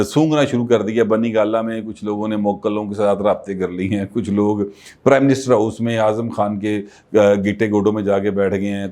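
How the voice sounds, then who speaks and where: A man's voice: on the low side, a little mellow. Chatty political commentator, man. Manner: conversational, many filler pauses.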